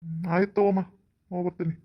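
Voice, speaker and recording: A woman's voice: on the low side, little pitch movement, racing, lo-fi sound, pitched below the performer's own voice, lightly acted. A woman voicing a dutiful, placating adult son in an amateur cartoon, with a North Karnataka accent. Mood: brisk, placating. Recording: noisy, clicky home recording.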